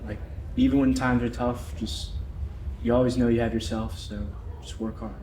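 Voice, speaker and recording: A man's voice: mid-range voice, little pitch movement, normal speed, warm tone. A young man, a student, answering interview questions hesitantly, with many fillers. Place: event venue. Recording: roomy with faint background hum.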